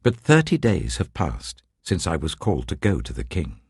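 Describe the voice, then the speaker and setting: A British man voicing a brave, earnest queen in an audiobook, speaking formally and deliberately. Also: sits low, huge pitch swings, husky, no room sound, studio quality, heavily acted. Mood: grave resolve. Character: brave, resolute young queen, earnest and courteous.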